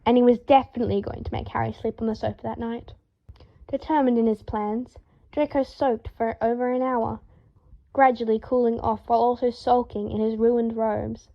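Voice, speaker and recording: A woman reading fan fiction aloud as an audiobook, telling the story in a lively, dramatic way. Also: on the high side, moderate pace, creaky, highs cut off. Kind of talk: expressive audiobook narration.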